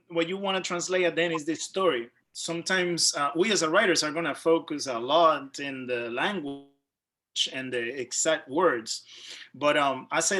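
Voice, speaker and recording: Man hosting a literary video call, slightly high voice, rambling conversational delivery. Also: fairly bright tone. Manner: loose, run-on phrasing with fillers. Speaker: host.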